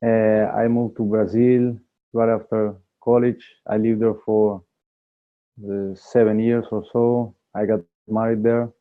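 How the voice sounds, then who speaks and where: Man from Argentina, slow hesitant intro, phone-like sound. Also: lowish voice, level tone. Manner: hesitant, many fillers. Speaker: course participant. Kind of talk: casual self-introduction on a group video call. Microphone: video call. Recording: phone-like call audio.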